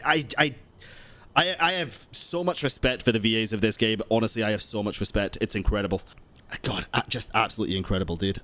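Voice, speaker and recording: A man streaming a video game with casual, expressive live reactions full of slangy exclamations. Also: mid-range voice.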